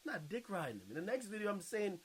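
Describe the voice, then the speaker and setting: Mocking male commentator, animated and high-pitched. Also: quick pace, husky. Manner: mocking, blunt, slangy. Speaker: video commentator reading viewer comments. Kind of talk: casual reaction commentary.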